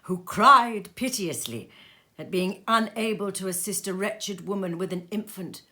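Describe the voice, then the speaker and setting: A woman reading a classic story aloud as expressive narration, with dramatic, deliberate and emphatic phrasing. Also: mid-range voice. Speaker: storybook reader.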